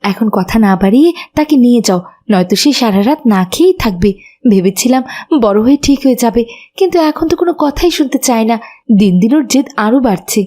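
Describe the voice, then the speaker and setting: A woman's voice: fairly high pitch, lively intonation, brisk, warm tone. Woman playing a worried, resigned mother. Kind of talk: cartoon dialogue.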